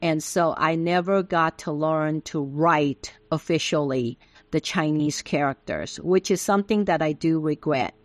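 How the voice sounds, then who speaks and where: A woman's voice: low-pitched, unhurried, dry recording. A middle-aged woman from Taiwan whose first language is Taiwanese Hokkien, patiently explaining things as an interview guest. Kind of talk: interview answers.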